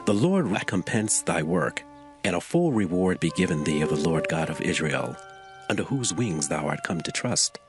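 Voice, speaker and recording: A kindly biblical landowner with a low voice that moves expressively up and down. He speaks with solemn, measured authority in an audio scripture drama, over clearly audible music. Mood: solemn, blessing. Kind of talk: dramatized scripture acting, archaic English.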